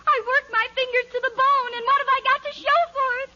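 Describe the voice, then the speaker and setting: A woman's voice: extremely high pitch, flat delivery, quick pace. A woman playing a sweet, melodramatic young princess in a 1940s radio comedy. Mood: woeful. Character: sweet, melodramatic young fairy-tale princess and comic-strip wife. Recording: muffled, noisy 1940s radio recording.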